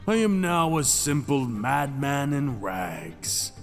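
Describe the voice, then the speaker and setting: A man's voice: medium pitch, big pitch swings, voice pushed up. A man playing a languid, seductive captive dragon king. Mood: teasing, languid. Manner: languid, teasing, drawn-out phrasing with dramatic pauses. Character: mad captive dragon king, ancient, seductive, possessive, menacing. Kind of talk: intimate ASMR roleplay acting. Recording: long cavernous reverb, music behind.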